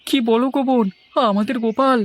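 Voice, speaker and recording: Guy doing fretful cartoon village man, high voice, talks fast, wronged and sorry for himself. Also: fairly even intonation. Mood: puzzled, worried. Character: anxious, wronged village man, self-pitying errand runner. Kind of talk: cartoon dialogue acting.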